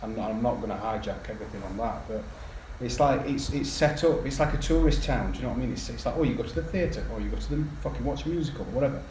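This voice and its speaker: A man's voice: mid-range voice, expressive, quick pace, hardly any pauses, darkish tone. A man from northern England talking bluntly in a casual podcast chat, swearing and stumbling over his words as he rants. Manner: blunt, swearing, stammering restarts. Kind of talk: casual discussion. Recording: roomy echo with faint noise.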